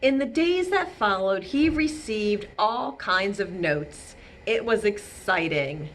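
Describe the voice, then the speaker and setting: Animated woman reading a picture book aloud. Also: mid-range voice, slow pace, a little hiss or hum. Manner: animated, explains words and pictures simply. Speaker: children's librarian reading at storytime. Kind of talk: expressive picture-book read-aloud with asides to young children.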